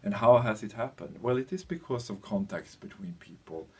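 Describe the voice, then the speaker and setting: A European man giving an informal explanatory lecture as a teacher, speaking in halting phrases with pauses and a husky voice. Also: lowish voice, expressive, darkish tone.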